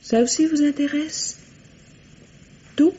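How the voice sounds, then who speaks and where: A woman's voice: sits high, steady tone, takes it slowly, mellow. An actress playing an evasive, wounded young woman under police questioning in a radio mystery, hesitant and pleading. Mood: wistful, confiding. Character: evasive, wounded young woman suspected of murdering her husband. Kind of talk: 1950s French radio mystery acting. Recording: muffled vintage radio drama sound.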